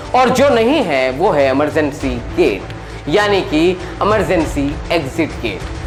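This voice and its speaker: Guy reading dramatic news report, voice swings up and down a lot, music behind him. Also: sits high. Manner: rhetorical, emphatic delivery. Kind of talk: dramatic scripted news narration. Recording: echoey voice over loud background music.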